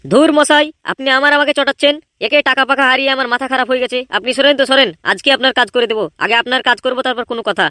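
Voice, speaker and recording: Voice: sits high, steady tone, very fast, few pauses, pushed and bright, no room sound, studio quality. A performer voicing an irritable, blustering and easily flustered male gang boss in a comedy cartoon. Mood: irritated. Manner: blustering, complaining.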